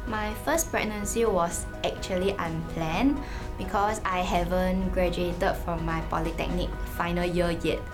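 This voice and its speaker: A woman's voice: lowish voice, measured pace, hardly any pauses. Earnest young woman. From Singapore. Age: 20s. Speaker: interviewee, young mother. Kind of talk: interview answers to camera. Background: background music.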